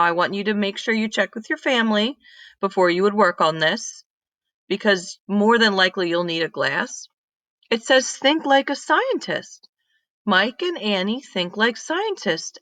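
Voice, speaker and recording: A woman reading a children's story aloud, expressive and instructive, speaking directly to young listeners. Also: medium pitch, dry recording.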